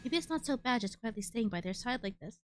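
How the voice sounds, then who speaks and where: A woman's voice: fairly high pitch, lively intonation, quick pace, noisy background. A woman streamer giving casual, musing commentary on a game playthrough, hesitant but enthusiastic. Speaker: VTuber streamer.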